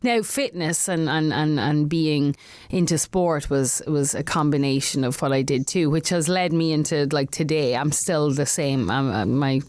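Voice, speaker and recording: Low-voiced Irish woman chatting. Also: normal speed, darkish tone, dry recording. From Ireland. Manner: chatty, laughs often, many restarts. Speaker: guest singer-songwriter. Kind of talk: casual interview chat.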